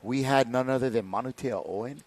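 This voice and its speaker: A man's voice: medium pitch, fairly quick. Enthusiastic male race commentator from Hawaii. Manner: warm, enthusiastic storytelling between race calls.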